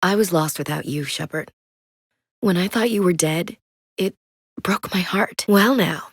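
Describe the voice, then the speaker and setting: A young American woman marine in a cinematic sci-fi game. Her voice sits low for a woman, in a dry, close recording with no room sound. She speaks with blunt military directness, candid and wry. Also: unhurried, slightly rough, no room sound. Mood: tender, troubled. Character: tough, candid young female space marine. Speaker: video game voice actor.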